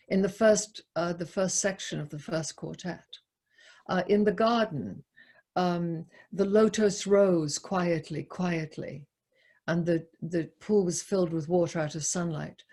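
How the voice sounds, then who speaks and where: A woman giving a poetry talk to a group, speaking in a halting, reflective way with repeated words and a husky voice. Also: fairly low pitch, darkish tone. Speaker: poetry lecturer leading a discussion.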